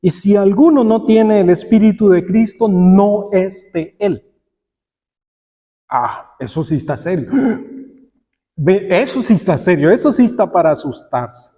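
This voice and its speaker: A man's voice: sits high, lively intonation, a little slow, dark tone. A man preaching a sermon, putting questions to his listeners and acting out bits of dialogue as he goes. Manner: acts out imagined dialogues and quotes; rhetorical questions to the congregation. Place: church hall, preaching aloud to congregation. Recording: long echo of a large hall.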